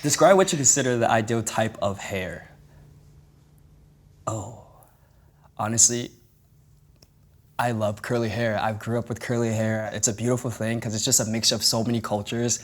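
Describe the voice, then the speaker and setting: Earnest young man, lower voice. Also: normal speed, long pauses. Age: 20s. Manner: casual, filler-heavy, earnest. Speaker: participant. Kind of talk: candid group conversation.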